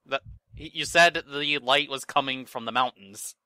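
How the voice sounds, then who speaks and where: A man's voice: mid-range voice, normal speed, sharp tone, dry recording. A young man joking casually as a co-host, cutting in with incredulous, teasing questions. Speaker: co-host commenting on a story reading. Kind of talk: casual joking chat over gameplay.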